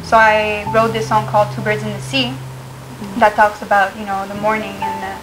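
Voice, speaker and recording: A young woman from Canada, a singer being interviewed, talking softly and hesitantly with many fillers. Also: medium pitch, monotone, a little fast, music in the background, low-quality recording. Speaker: singer guest. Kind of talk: casual interview chat.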